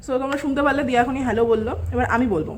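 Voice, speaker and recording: A woman's voice: high-pitched, animated, rapid-fire, noisy background. A woman giving a casual, explanatory product review to viewers, mixing in English words. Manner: explanatory, addressing viewers directly. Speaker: vlog host and product reviewer.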